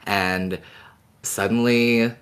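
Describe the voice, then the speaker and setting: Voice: mid-range voice, fairly even intonation, very slow, clear, resonant tone. A podcast co-host talking casually as a fan about an audio drama, thoughtfully rephrasing points along the way.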